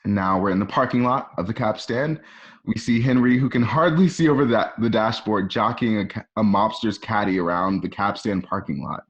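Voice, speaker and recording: A man with a fairly low voice narrates a radio play. He reads out scripted stage directions, setting each scene in the present tense. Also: fairly quick, dull audio.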